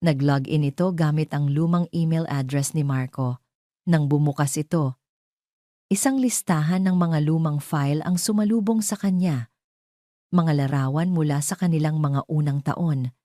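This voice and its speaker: A woman with a Filipino accent narrates a scripted dramatic story in a measured storytelling manner. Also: deep voice, warm tone, no echo, clean studio sound.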